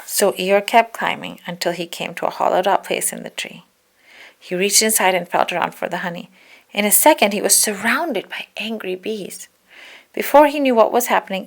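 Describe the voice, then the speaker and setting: A woman narrates a children's picture book aloud. Her voice sits at a middling pitch with plenty of creak in it. She reads expressively, as a story for young listeners. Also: normal speed. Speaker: storybook reader.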